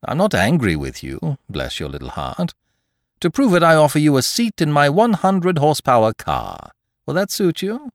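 A man reading aloud as a cocky, playful gentleman thief who teases and mocks in a theatrical way. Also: wildly swooping pitch, moderate pace, no room sound, studio quality. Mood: teasing. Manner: teasing, theatrical swings in pitch. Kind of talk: audiobook character reading.

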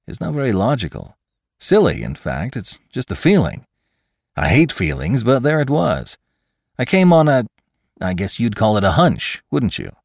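Storybook scientist, as a male narrator plays him: eager, effusive, innocent, very animated delivery, dry recording. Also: mid-range voice, moderate pace, gravelly, no room sound, voice pushed up. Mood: musing, bubbly. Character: excitable, gushing, naive male scientist.

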